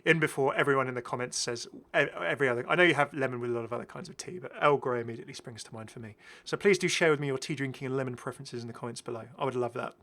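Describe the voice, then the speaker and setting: A man's voice: mid-range voice, racing, hardly any pauses, a bit bright. A British man giving casual, enthusiastic, rambling commentary as the host of a gaming video. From Britain. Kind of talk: casual commentary over game footage.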